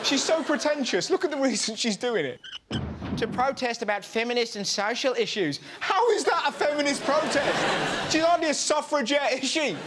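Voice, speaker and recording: Animated English comic host, high and lively. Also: male voice, moderate pace. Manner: animated, mocking, exaggerated outbursts. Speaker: comedy show host. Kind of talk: stand-up topical comedy monologue. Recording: loud audience and noise. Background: studio audience laughing.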